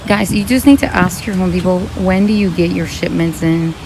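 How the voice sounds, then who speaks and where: A woman with a slightly raspy voice, chatting casually to her viewers as she browses plants in a garden center. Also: fairly low pitch, fairly quick, noisy background. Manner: chatty, thinking aloud while browsing. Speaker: vlogger. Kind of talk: casual shopping vlog talking to viewers. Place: garden center of a large store.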